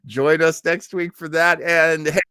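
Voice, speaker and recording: A man's voice: a little high, flat delivery, unhurried, sharp tone, clean tone, no echo, clean studio sound. A man in his early sixties hosting a livestream, chatting casually with viewers in a rambling way with jokey asides. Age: early 60s. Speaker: host of a livestreamed classic radio listening show.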